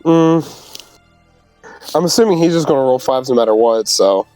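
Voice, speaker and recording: A man's voice: mid-range voice, expressive, normal speed, mellow, no room sound, soft background music, low-quality recording. Dry-sounding male tabletop player. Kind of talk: casual in-game banter.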